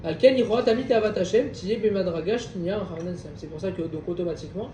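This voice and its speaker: High-voiced man teaching in an echoey room. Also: quick pace, warm tone, dull audio. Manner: reads a passage, then explains it with questions to the group. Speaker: Torah class teacher. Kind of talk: reading Hebrew text and explaining in French. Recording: echoey room, distant mic, faint background noise.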